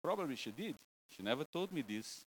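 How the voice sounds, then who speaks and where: A man's voice: a little high, very animated, brisk, poor audio. A man from Brazil, preaching an informal sermon in English with a Brazilian Portuguese accent, broken phrasing and a joking, self-deprecating manner. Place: church.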